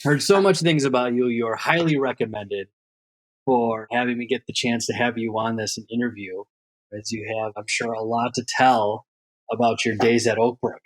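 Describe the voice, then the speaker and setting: A man's voice: mid-range voice, fairly even intonation, normal speed, rough recording. A man hosting an interview podcast, speaking as a presenter introducing and talking with a guest. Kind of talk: scripted introduction, then conversational interview.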